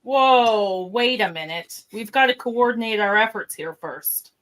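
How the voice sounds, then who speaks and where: A woman's voice: a little high, animated, a little slow, a bit bright. A woman playing a level-headed starship officer in an amateur radio play. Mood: firm.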